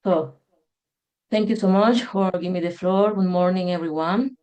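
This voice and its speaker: A woman's voice: on the low side, moderate pace, a little mellow, highs cut off. A woman from Ecuador joining a meeting by video call, introducing herself hesitantly with many fillers. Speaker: new board member, online. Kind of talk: brief self-introduction.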